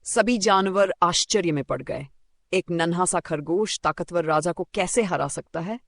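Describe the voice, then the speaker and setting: A male storyteller reading a scripted children's fable. His voice is slightly high for a man and noticeably creaky, captured very dry with no room sound. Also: unhurried, a bit bright, dry recording.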